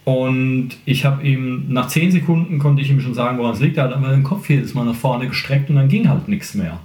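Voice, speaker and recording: A man's voice: medium pitch, fairly quick, darkish tone. A man hosting a casual podcast chat, explaining like a singing teacher in colloquial, joking language. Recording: roomy, echoey room sound.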